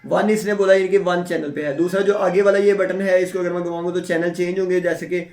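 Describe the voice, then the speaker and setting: A man's voice: high voice, flat delivery, quick pace, darkish tone. A man giving a casual, step-by-step product unboxing and demonstration, often checking in with small tag phrases as he explains. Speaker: product reviewer. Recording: echoey room.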